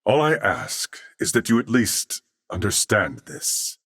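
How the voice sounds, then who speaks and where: A man voicing a courteous, thoughtful humanoid merged with machine intelligence in a video game, with formal, measured, earnest diction. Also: on the low side, sing-song, fairly bright tone, dry recording, studio quality, exaggerated voice. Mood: solemn, earnest. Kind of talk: scripted video game character acting.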